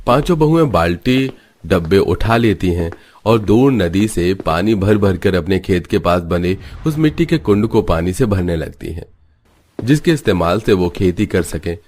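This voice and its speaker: A man's voice: deep voice, lively intonation, normal speed, darkish tone, slight background noise. A man narrating a cartoon story from a script.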